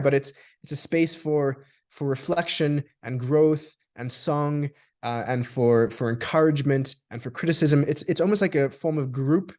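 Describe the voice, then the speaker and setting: A man's voice: medium pitch, darkish tone. A man from Australia talks in an ordinary middle register, in a thoughtful conversational tone. He circles back on his words, restarting phrases as he works toward each point. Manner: thoughtful, restarts and repeats words while building long sentences. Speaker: guest. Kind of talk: reflective conversational discussion.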